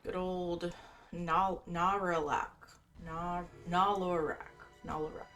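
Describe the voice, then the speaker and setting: A woman's voice: fairly low pitch, fairly even intonation, slow, a bit bright. A woman giving casual gameplay commentary, rambling and thinking aloud as she plays. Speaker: gaming streamer. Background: video game music.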